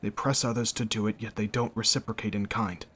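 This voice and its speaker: A man playing a kind, steady prince and knight captain who reassures a friend in a scripted fantasy audio drama. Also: fairly low pitch, fairly quick, lightly acted. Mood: reassuring, earnest.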